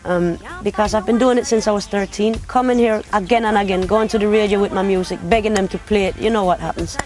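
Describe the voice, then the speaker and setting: A woman's voice: mid-range voice, fairly even intonation, fast talker, a little mellow. Young woman with patois turns. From Denmark. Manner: talkative storytelling with patois phrasing. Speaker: competition finalist. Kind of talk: casual interview.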